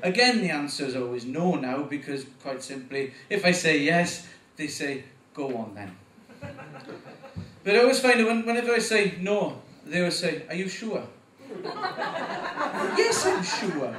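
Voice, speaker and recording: A man with a Welsh accent performing a stand-up comedy set, getting animated at punchlines. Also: measured pace, distant mic, a little hiss or hum. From Wales. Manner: animated, voice jumps up in pitch at punchlines. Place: comedy club stage. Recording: echoey room, voice from afar.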